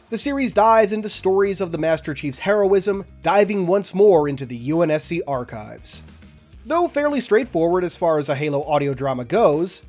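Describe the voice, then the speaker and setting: Higher-voiced man narrating game lore. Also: a little mellow. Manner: enthusiastic, analytical, addresses viewers directly. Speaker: lore analysis host.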